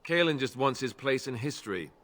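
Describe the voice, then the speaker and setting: A man's voice: medium pitch, expressive, fairly quick, a bit bright, natural voice. A man playing a wry, candid young warrior in a fantasy video game, talking in a conversational way with dry understatement.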